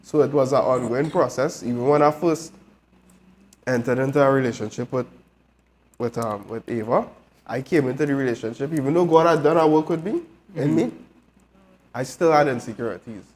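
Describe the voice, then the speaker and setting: A man's voice: mid-range voice, moderate pace, pauses often, a little mellow. A man talking casually on a live talk show, telling personal stories and speaking about his faith. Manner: storytelling, laughing, faith-focused. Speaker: show regular sharing testimony.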